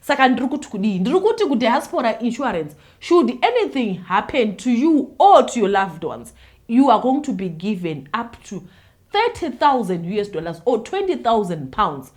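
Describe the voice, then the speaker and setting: A woman's voice: a little high, moderate pace. A Zimbabwean woman hosting her own channel, chatting casually and animatedly to her viewers and switching freely between Shona and English. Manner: animated, mixes Shona and English, laughs often. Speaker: YouTube host.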